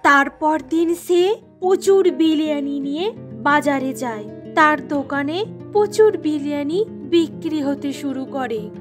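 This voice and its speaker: A woman narrating a cartoon moral story in a plain, measured way. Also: sits high, over music.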